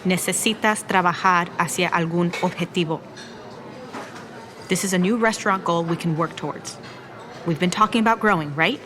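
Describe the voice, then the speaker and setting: An actress playing a resolute longtime restaurant owner in a naturalistic audio drama. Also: female voice, fairly low pitch, moderate pace, pauses often, fairly bright tone, lightly acted. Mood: resolute, encouraging.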